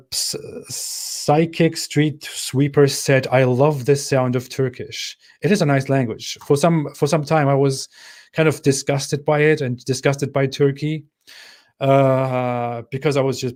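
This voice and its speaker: A man hosting a casual livestream Q&A, thinking aloud in a halting way as he reads out viewer comments and answers them. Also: mid-range voice, darkish tone. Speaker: channel host answering live chat.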